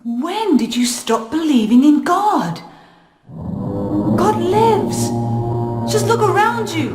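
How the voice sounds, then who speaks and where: A slow, solemn, fanatical cult priestess. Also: female voice, high voice, huge pitch swings, exaggerated voice. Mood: accusing. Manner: grave, preaching, drawn-out delivery. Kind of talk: video game voice acting. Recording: echoey, under loud music. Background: loud music.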